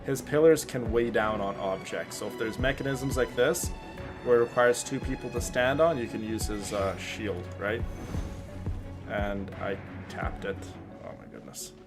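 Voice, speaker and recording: Low-voiced man casually commentating gameplay. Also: moderate pace, music in the background. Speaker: game streamer.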